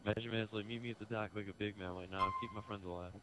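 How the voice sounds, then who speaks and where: A man's voice: on the low side, steady tone, fast talker, heavy background noise. A young man in casual in-game voice chat, directing his teammates as a player in an online game.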